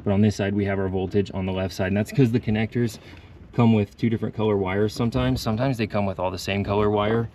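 A man's voice: on the low side, fairly even intonation, fast talker, mellow, a little hiss or hum. A young man, a mobile mechanic, talking casually to the camera, venting about his work and explaining repairs step by step.

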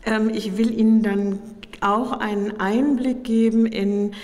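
Slightly hoarse woman lecturing in a reverberant hall. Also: on the high side, steady tone, a little mellow. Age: middle-aged. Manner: measured, explanatory, formal. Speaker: academic guest lecturer. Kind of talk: public lecture. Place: indoor lecture room at a podium. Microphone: podium microphones.

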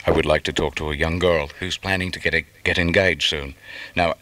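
A man's voice: a bass voice, fairly even intonation, brisk, sharp tone, no room sound. An Australian man presenting a 1970s commercial radio breakfast show as its host.

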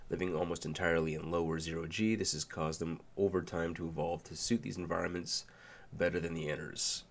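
Male host, deep and gravelly, nasal from a cold, relaxed and a bit rambling. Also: a little fast. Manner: relaxed, loose with fillers and restarts. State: nasal from a sinus flu. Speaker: video essay host. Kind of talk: casual explainer narration.

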